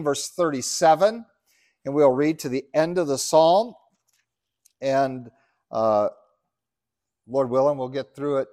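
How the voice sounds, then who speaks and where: A man's voice: on the high side, darkish tone, no echo, clean studio sound. A middle-aged man from Uganda preaching a sermon as pastor, earnest, rising to emphatic scripture reading. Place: church.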